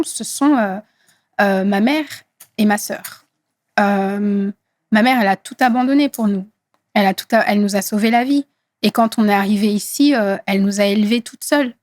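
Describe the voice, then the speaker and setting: A woman in her thirties from Congo whose first language is Swahili, speaking earnestly in an interview with many hesitant pauses. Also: mid-range voice, no room sound, clean studio sound. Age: 30s. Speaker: guest activist. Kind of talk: interview conversation.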